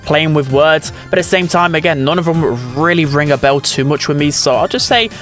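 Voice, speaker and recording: A man with a fairly high voice hosts a gaming video. He gives casual, opinionated commentary, with music playing behind him. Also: fairly quick, a bit bright.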